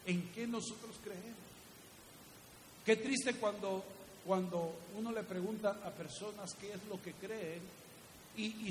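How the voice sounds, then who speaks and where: Male preacher, high-pitched voice, instructive delivery, recorded in a very reverberant hall. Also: a little mellow. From Guatemala. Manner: didactic, with rhetorical questions and repeated key phrases. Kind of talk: teaching sermon. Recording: echoey hall reverb with faint hiss.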